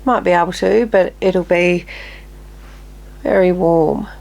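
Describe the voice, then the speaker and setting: A woman's voice: fairly low pitch, steady tone, takes it slowly, gravelly, darkish tone. Slightly nasal woman in her fifties. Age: 50s. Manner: chatty, drifts into murmured asides while applying makeup. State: slightly nasal, recovering from illness. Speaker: beauty vlogger. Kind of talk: casual makeup tutorial chat.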